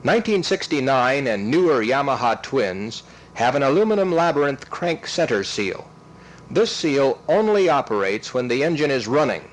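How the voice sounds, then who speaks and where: A man reads scripted instructional narration in a methodical, step-by-step way, enunciating clearly. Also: medium pitch, big pitch swings, moderate pace. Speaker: training film narrator.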